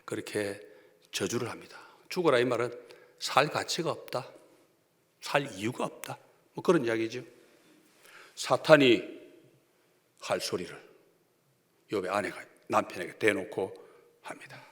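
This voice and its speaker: A male pastor with a Gyeongsang accent preaches with sharp swings between loud declarations and near-whispered asides. His pitch leaps up and down as he presses each point. The sound carries a long reverb from a church sanctuary. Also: animated, a little slow, pauses often. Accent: Gyeongsang Korean. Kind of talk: sermon.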